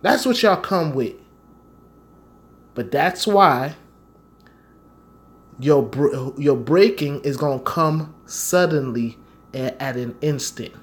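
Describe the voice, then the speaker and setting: An American man preaches in a somewhat high voice at a slow, deliberate pace. He is confrontational and slangy, leaning on repeated phrases as he teaches scripture in a fervent, informal sermon. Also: expressive. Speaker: street-style preacher and Bible teacher.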